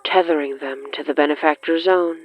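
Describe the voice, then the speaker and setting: A narrator reading scripted dramatic horror fiction in a measured, deliberate way with dramatic pauses. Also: mid-range voice, steady tone, muffled recording. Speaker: narrator of an audio fiction podcast. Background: faint music.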